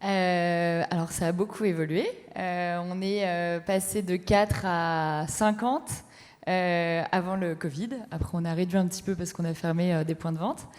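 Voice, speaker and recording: Female entrepreneur guest, slightly low voice, halting, reverberant hall. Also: fairly even intonation, darkish tone. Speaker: entrepreneur being interviewed on stage. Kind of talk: spoken testimony at a business talk event. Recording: echoey hall, long reverb.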